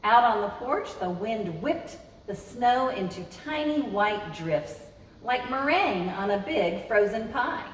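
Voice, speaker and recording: A woman's voice in a roomy, echoing space, picked up from a distance with some noise. She reads aloud for children as a storytime host, with very lively swings in pitch. She addresses her young listeners directly and expressively. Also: takes it slowly.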